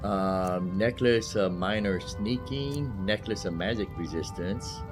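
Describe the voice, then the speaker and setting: Low-voiced man casually narrating gameplay. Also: slow pace. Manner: rambling, thinking aloud. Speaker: gaming video host. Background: game music.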